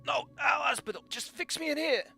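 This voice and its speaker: A man voicing a bloodied young male pit fighter who shouts defiantly through his pain. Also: extremely high pitch, fairly quick, vocal fry, faint music behind, pitched above the performer's own voice, exaggerated voice.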